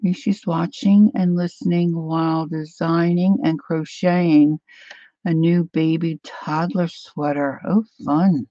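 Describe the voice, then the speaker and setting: A woman hosting a casual crafting live stream, chatting softly and unhurriedly with viewers while she cuts paper at her craft table. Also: low-pitched, fairly even intonation, slow, dry recording, dull audio. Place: indoor craft table, overhead camera.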